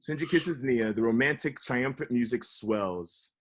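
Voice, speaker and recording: A man reads scripted stage directions as narrator. His voice sits at an average male pitch, in a table-read delivery. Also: unhurried.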